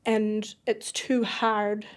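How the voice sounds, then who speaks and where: A woman's voice: mid-range voice, level tone, drawn-out words. Earnest woman telling a personal story. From Iraq. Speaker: podcast guest. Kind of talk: casual podcast conversation.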